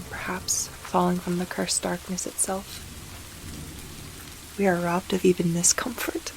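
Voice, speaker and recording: A woman playing a weary, grieving old soldier who narrates a dark fantasy audio drama in a solemn, dramatic manner. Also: lowish voice, background noise.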